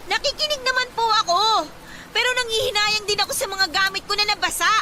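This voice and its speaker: Whiny pinay teen daughter, super high voice, pleading and dramatic, loud storm noise behind. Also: female voice, fairly quick. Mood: whiny, upset. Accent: Filipino. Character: anxious, whiny teenage daughter in a flood. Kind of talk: Filipino radio drama acting.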